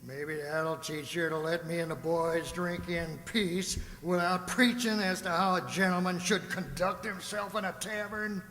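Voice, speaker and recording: An actor playing a boastful, hard-drinking frontier tavern bully in a radio drama, with coarse, folksy, swaggering speech. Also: male voice, high voice, steady tone, unhurried, hardly any pauses, sharp tone. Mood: belligerent, boastful. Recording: echoey hall, reverberant room.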